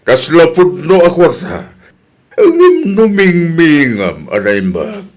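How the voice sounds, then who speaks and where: A man playing a puzzled, comically slow middle-aged village husband in a radio drama, with exaggerated delivery. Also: a little high, wildly swooping pitch, darkish tone, full character voice. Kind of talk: Ilocano comedy radio drama acting.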